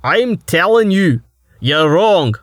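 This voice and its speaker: A male narrator voicing a belligerent, loud-mouthed man who shouts and mocks the others. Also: medium pitch, a little slow, no room sound, clean studio sound. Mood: angry. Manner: shouting, mocking.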